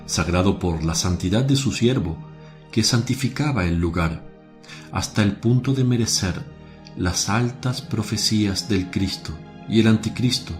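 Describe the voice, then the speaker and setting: A man playing Jesus as a gentle, solemn teacher who preaches in measured, declamatory phrases in a religious radio play. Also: lowish voice, close to an everyday voice.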